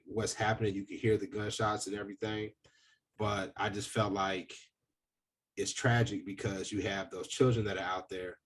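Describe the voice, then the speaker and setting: Deep husky-voiced man, earnest and reflective. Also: distant mic. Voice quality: deep, booming voice. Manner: earnest, reflective, hesitant with fillers. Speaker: podcast host. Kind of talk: casual discussion.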